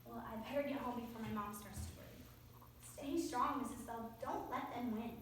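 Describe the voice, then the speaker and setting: A young woman on stage playing an earnest, sympathetic teenage schoolgirl who gently consoles. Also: on the high side, moderate pace, lightly acted. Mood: consoling. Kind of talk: high school readers theater performance. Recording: very echoey room, distant mic, faint noise.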